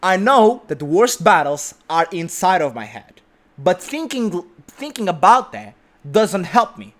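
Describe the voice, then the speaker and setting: A man's voice: high voice, sing-song, a little slow, a bit bright. A young man giving an emphatic motivational talk, repeating his points and often asking "right?". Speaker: motivational speaker. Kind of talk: motivational monologue.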